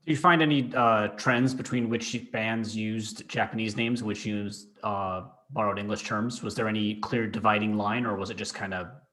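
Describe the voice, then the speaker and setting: Man, podcast host, interviewer, lowish voice, tentative, hesitant, fillers. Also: steady tone, moderate pace, hardly any pauses, pushed and bright.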